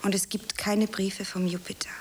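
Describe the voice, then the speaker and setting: A woman playing a young, emotional aspiring actress in a radio drama, melodramatic and given to breathy asides. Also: medium pitch, level tone, normal speed, close to an everyday voice. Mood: anguished, dramatic. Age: young adult. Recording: faint hiss.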